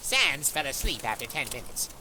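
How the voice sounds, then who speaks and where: A woman's voice: rumbling low, sing-song, moderate pace, bright, forceful tone, a little hiss or hum, full character voice. A woman voicing a vain, upbeat skeleton in an audio drama, with theatrical exclamations. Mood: fond, proud. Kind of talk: fan audio drama acting.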